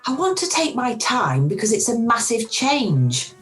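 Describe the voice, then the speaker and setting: A woman playing a warm but self-doubting middle-aged restaurant owner in an amateur radio play, recorded over a video call. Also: on the high side, sing-song, unhurried, faint music behind. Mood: anxious.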